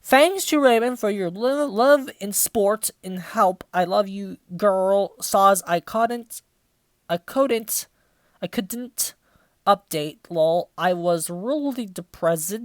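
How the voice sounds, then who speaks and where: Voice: mid-range voice, expressive, slow pace, dry recording. Reader doing bratty sweary teen girl fanfic author, overdramatic, close dry sound. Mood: gushing, sulky. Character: brash angry teenage girl fan-fiction author.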